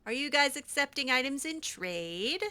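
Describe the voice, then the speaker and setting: Bright, high-voiced woman musing over a game. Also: animated, moderate pace. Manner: hesitant, musing aloud to herself. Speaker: gamer playing a role-play character. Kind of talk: in-character game playthrough commentary.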